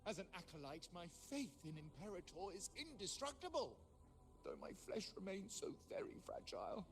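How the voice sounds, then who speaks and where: A mocking, fanatical video game villain, with very animated pitch that rises and falls sharply. The delivery is theatrical and drawn out, toying with the listener like a false acolyte. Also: male voice, normal speed, exaggerated voice. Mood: theatrical, sinister.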